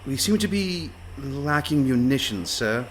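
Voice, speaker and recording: An actor playing a nervous, deferential pirate first mate in a radio play, stammering politely and hesitantly. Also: male voice, mid-range voice, animated, a little slow, lightly acted. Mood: nervous, apologetic. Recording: faint background noise.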